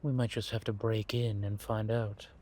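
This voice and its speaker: A man playing a sly, scheming vampire spy in an audio roleplay, husky and theatrical, savoring his words in drawn-out musings. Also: on the low side, flat delivery, warm tone, close to an everyday voice. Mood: watchful, scheming. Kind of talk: scripted audio roleplay monologue addressed to a companion.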